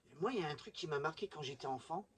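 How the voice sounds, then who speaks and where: A man's voice: a little high, animated, rapid-fire. A middle-aged man chatting enthusiastically and informally to viewers as he presents a hobby vlog. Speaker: video presenter showing a collection.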